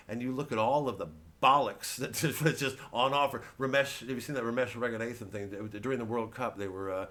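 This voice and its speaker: A male comedian delivering a rambling, halting, sweary satirical monologue full of digressions. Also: medium pitch, a bit bright. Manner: acts out mock quotes and voices.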